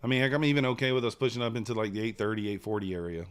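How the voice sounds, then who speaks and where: A man's voice: on the low side, very fast, smooth. A man, hosting a live trading stream in casual chat, rambling and replying to chat. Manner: relaxed, rambling, reads chat aloud. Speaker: livestream trader host.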